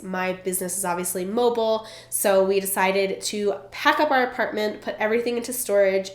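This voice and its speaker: A young woman vlogger talks casually to the camera, her nose stuffed up and her voice a little froggy from a cold. She sounds chatty and bubbly and strings thoughts together with lots of fillers. The room around her has a noticeable echo. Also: medium pitch. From Vermont. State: stuffed up, congested. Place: indoors at a relative's home. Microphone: camera in front while seated. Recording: roomy echo.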